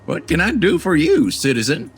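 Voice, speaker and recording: An actor voicing a foul-mouthed, contemptuous self-appointed sheriff in a video game, coarse and dismissive. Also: male voice, a little high, wildly swooping pitch, moderate pace, faint music behind. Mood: contemptuous, irritated. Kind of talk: video game character dialogue.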